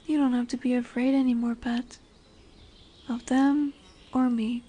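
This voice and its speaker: A woman voicing a dominant but tender lamia in a fantasy roleplay audio drama, speaking softly and soothingly, often dropping into whispers. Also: a little high, level tone, measured pace, slight background noise, close to an everyday voice. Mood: tender, possessive. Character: dominant, tender female lamia, snake-bodied forest monster.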